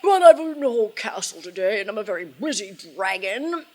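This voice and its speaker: A woman voicing a boastful, self-important male dragon in a storybook, with drawn-out, showy delivery. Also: high voice, big pitch swings. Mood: boastful, dismissive.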